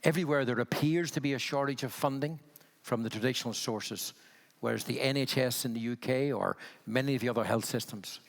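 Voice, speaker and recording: A man's voice: mid-range voice, fairly quick, gravelly, big-room sound. A man in his sixties with a Belfast accent, speaking candidly and wryly on a conference panel, often restarting his sentences. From Northern Ireland. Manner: candid, wry, frequent restarts.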